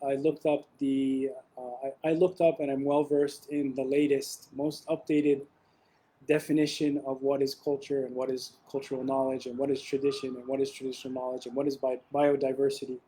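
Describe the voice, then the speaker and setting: A young man presenting an academic webinar over a video call, talking in a relaxed way with frequent ums and restarts. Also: mid-range voice, moderate pace, low-quality recording.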